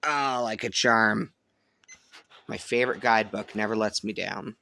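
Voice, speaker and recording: A man giving a casual hands-on product review, thinking aloud in a halting way. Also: lowish voice, vocal fry, sharp tone. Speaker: host of a shortwave radio review channel. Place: indoors at home, upstairs room.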